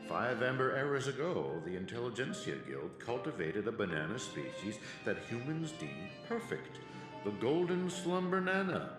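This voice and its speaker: An actor playing a scheming, condescending professor villain who lectures in a theatrical way. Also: male voice, medium pitch, close to an everyday voice. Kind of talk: video game voice acting. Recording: roomy game audio with music.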